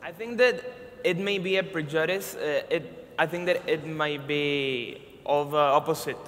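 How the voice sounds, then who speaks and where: A man's voice: fairly high pitch, unhurried, a bit bright. A man, speaking hesitantly as a student activist on a panel. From Venezuela. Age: 20s. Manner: searching for words, hesitant. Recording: reverberant hall with faint noise.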